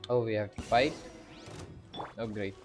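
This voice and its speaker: A man's voice: on the low side, unhurried, over loud music. A man giving casual, muttering and sometimes profane commentary while playing a game. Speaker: gamer commentating on playthrough.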